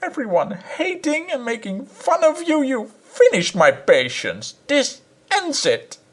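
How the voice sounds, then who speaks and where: A man voicing a small, furious wife who scolds her husband in shrill, tearful screams. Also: huge pitch swings, measured pace, fairly bright tone, full character voice. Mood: furious scolding. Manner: screaming, wailing, near tears. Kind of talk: audiobook character reading.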